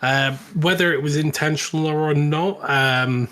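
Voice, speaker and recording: A man co-hosting a casual film chat podcast, giving mild opinions in a measured manner. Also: on the high side, a bit bright. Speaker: podcast co-host.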